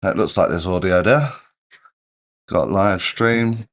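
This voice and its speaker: A man hosting a live question-and-answer stream, speaking in a relaxed, rambling way, a little congested from a cold. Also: deep voice, takes it slowly. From United Kingdom. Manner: relaxed, rambling, checks audio as he goes. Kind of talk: casual live-stream chat.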